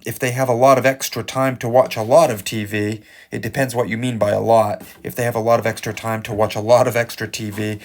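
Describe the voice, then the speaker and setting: Low, gravelly-voiced male English teacher. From English-speaking country. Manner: musing, hesitant, many fillers. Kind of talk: exam-prep lesson talk.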